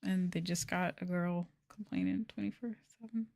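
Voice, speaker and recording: Young female streamer, slightly low pitch, casual ASMR-stream chat, apologetic venting delivery. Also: sing-song, normal speed, gravelly, darkish tone. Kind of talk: casual chatty livestream talk, meant as soft ASMR.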